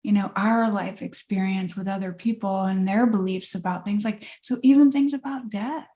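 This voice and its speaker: A woman co-hosting a podcast, talking in a slow, thoughtful, reflective way and searching for words. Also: medium pitch, dark tone. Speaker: podcast co-host and coach. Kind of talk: reflective casual chat.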